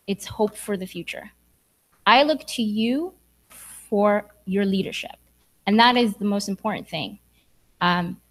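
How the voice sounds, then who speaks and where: A young woman from Sudan giving an earnest, encouraging speech to graduates in a church hall. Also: medium pitch. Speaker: graduation speaker.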